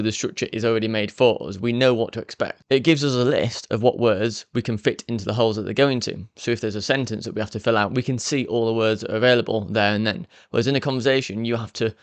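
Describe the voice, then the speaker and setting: A man, hosting a language learning channel and explaining step by step in a brisk, upbeat way. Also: mid-range voice, no echo. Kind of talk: explainer talking to camera.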